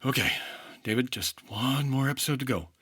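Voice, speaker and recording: A man's voice: mid-range voice, a little fast, heavy background noise, natural voice. A man acting as an earnest hard-boiled insurance investigator, with clipped, dry narration in 1950s radio detective style. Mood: announcing.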